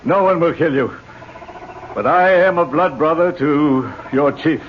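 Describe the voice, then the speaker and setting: An actor in an old radio drama playing a stern, authoritative doctor with a measured, commanding manner. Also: male voice, on the high side, close to an everyday voice. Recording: muffled old radio recording with hiss.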